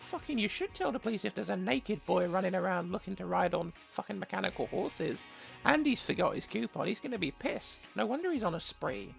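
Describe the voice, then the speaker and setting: A very creaky, gravelly voice reads game text aloud and cuts in with mocking, sweary asides. The delivery is that of a joking player, with faint music behind it. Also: medium pitch, animated, a little fast. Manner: sarcastic, sweary, mocking interjections. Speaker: video game player reading a visual novel aloud.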